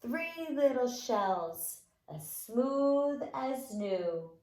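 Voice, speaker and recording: A woman with a high, very animated voice leads nursery rhymes and finger plays for young children. She is playful and encouraging, with a sing-song delivery. The sound is roomy and echoing. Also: extremely slow. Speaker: nursery school teacher.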